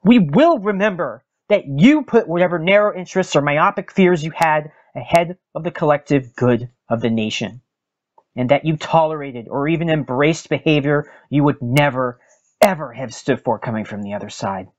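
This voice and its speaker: A man hosting a podcast, giving a dramatic, solemn reading of an opinion article with emphatic, deliberate pauses. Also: a little high, expressive, muffled recording. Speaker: podcast host.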